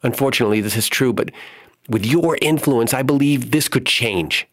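A man's voice: medium pitch, normal speed, a bit bright, dry recording, clean studio sound, voice pushed up, natural voice. A man voicing a scrawny, nervous male poet who stammers and hesitates, desperate for success. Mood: hopeful.

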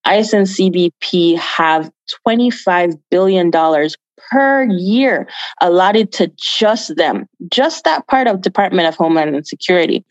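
A woman's voice: fairly low pitch, expressive, a little slow, no room sound, muffled recording. A woman from Jamaica giving earnest, emphatic answers as an activist guest in an interview, repeating phrases for stress.

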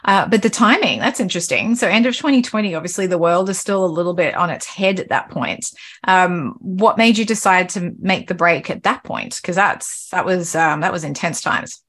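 A woman's voice: on the low side, expressive, normal speed, a bit bright. A woman hosting a podcast interview, chatting casually and rambling through restarts and fillers. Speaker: podcast host.

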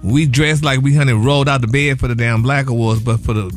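Sassy guy co-hosting radio, medium pitch, slangy casual chat, faint music behind. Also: fairly even intonation, fast talker. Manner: sassy, colloquial. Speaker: morning radio show co-host.

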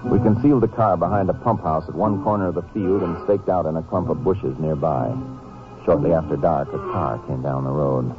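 An actor playing a steady, shrewd Texas Ranger in a 1950s radio drama, speaking and narrating in a terse, matter-of-fact way. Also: male voice, deep voice, fairly quick. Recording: muffled old-time radio recording with music.

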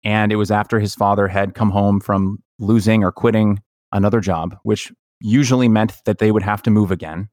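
A man hosting a podcast, telling personal stories in an earnest, reflective, halting way. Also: lowish voice, no room sound, clean studio sound. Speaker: podcast host. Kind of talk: personal storytelling in conversation.

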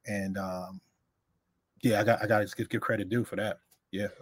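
A man born in Honduras and raised in the Bronx, a hip-hop journalist reminiscing in relaxed casual conversation. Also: on the low side, moderate pace, breathy edge, no room sound. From born in Honduras, raised in the Bronx, New York. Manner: relaxed, reminiscing, with many fillers.

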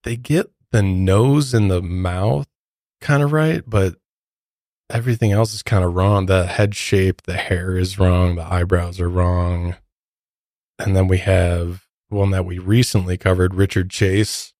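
A man's voice: low voice, steady tone, unhurried, a little mellow, dry recording, studio quality. A man co-hosting a podcast, moving between conversational commentary and prepared true-crime facts. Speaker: podcast co-host.

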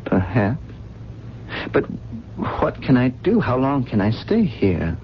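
An actor playing a weary, gentle amnesiac in a radio drama, with formal, educated diction and a halting, pensive delivery. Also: male voice, mid-range voice, very animated. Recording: dull, noisy 1970s radio recording.

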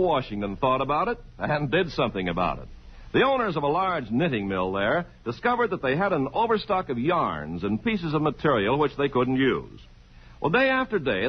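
An American man announces in old-time radio style. His delivery is earnest and extremely animated, on a fairly high, slightly husky voice. The broadcast sounds muffled. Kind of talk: 1950s scripted radio announcing.

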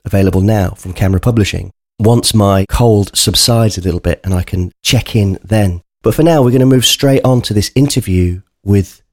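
A man hosting a podcast, his voice low and mellow and thickened by a bunged-up cold. He talks to his listeners in an earnest, chatty way, and the recording is close and dry with no room sound. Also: moderate pace, no echo. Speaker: podcast host. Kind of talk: casual spoken podcast introduction.

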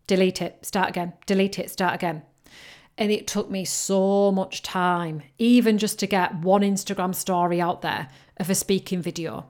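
A woman with a Northern English lilt, somewhere between Yorkshire and Geordie, coaching listeners on her own podcast. Her voice sits at a middling pitch, and she talks in an upbeat, encouraging way, hammering points home by saying them again. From North East England coast. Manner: upbeat, encouraging, repeats key phrases. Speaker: podcast host, business coach. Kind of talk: solo podcast advice.

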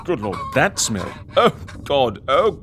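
An actor in a comedy audio drama playing an excitable, indignant schemer with a melodramatic manner. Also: male voice, mid-range voice, slow pace, soft background music. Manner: melodramatic, swooping. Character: theatrical, excitable, indignant man with grand schemes.

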